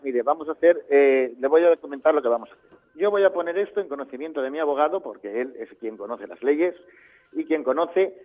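A man's voice: fairly high pitch, animated, fairly quick. A man speaking politely but firmly and formally in a phone conversation, over a narrow phone line. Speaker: prank call victim.

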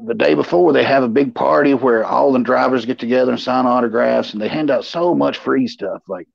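A man in his forties with a gravelly voice, telling rambling, folksy, salty anecdotes as a show co-host. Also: mid-range voice, a little fast, no echo. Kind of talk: casual anecdotal storytelling. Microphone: remote livestream call. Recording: dull, muffled online stream audio.

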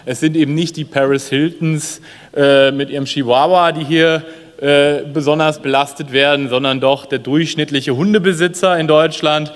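Smooth man in an echoing chamber. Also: a little high, fairly even intonation, normal speed. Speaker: member of a state parliament. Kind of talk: parliamentary speech. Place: parliament chamber lectern. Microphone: lectern microphones. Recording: long hall reverb of parliament chamber.

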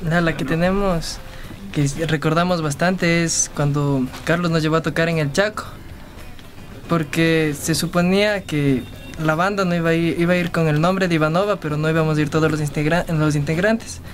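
Chatty young guitarist, high voice. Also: male voice, steady tone, soft background music. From Ecuador. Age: 20s. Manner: chatty, halting restarts. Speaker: band guitarist. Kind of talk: casual interview storytelling. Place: music studio room.

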